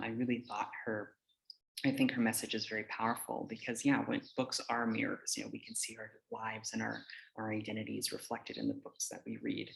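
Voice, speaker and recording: A man's voice: fairly low pitch, gravelly, fairly bright tone, low-quality recording. A man with an American accent giving an informal slide presentation in a conversational, hesitant manner. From United States. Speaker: teacher giving a conference presentation.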